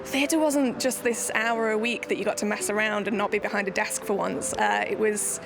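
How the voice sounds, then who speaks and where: A woman's voice: mid-range voice, fairly even intonation, moderate pace, gravelly, over music. A woman from Nottingham, a solo theatre maker, talking in a documentary interview in a chatty, self-deprecating way with many restarts. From Nottingham, England.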